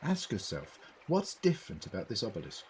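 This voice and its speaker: A man's voice: on the low side, huge pitch swings, brisk, darkish tone. A man acting in an audio drama as an eccentric, inquisitive Doctor who muses precisely as he investigates. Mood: inquisitive, musing. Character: male time-travelling Doctor, curious, eccentric, quick-thinking investigator.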